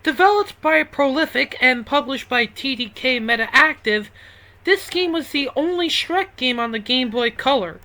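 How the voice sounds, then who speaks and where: A woman's voice: sits high, lively intonation, normal speed, bright, forceful tone. A woman narrating a video game review, sweary and exasperated, given to ranting outbursts. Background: music.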